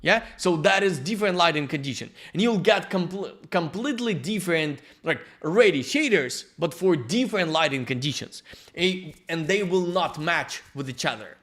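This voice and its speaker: A man with a Ukrainian accent giving a live-streamed software lesson as the instructor, halting and restarting as he searches for words. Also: a little high, animated. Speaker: workshop instructor.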